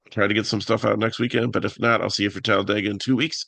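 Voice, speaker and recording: A man with a raspy voice hosting a casual fantasy picks talk, halting with many fillers and restarts. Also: on the low side, little pitch movement, fairly bright tone, dry recording. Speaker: fantasy sports analyst host.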